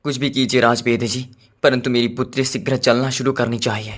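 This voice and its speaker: A man's voice: mid-range voice, flat delivery, fairly quick, close to an everyday voice. A man voicing a devoted, earnest king in a cartoon, with formal, regal speech. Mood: earnest, concerned. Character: devoted, earnest king, husband and worried father.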